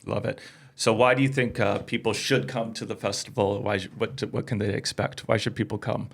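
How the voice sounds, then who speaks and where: A man's voice: on the low side, steady tone, fairly quick, vocal fry, slightly rough. A man hosting a casual interview, speaking in short, agreeable remarks. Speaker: podcast host.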